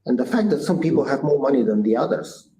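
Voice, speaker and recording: A man's voice: medium pitch, animated, fast, warm tone. A man in his sixties from Bulgaria with a Bulgarian accent, a guest entrepreneur answering interview questions in an earnest, thoughtful way, with many "uh" fillers and restarts. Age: 60s. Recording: echoey room with faint noise.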